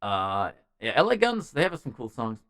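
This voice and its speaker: A man's voice: medium pitch, huge pitch swings, moderate pace, fairly bright tone, clean tone, no room sound, studio quality. A man hosting a music show, chatting casually and ramblingly as he answers messages from viewers. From Norway. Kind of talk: casual livestream chat.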